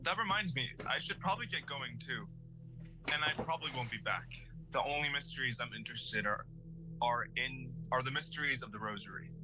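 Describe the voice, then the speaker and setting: A man's voice: medium pitch, lively intonation, a little fast, over music, lightly acted, poor audio. Devout, anxious young man called Norville, acted by a man. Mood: subdued. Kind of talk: audio drama table read.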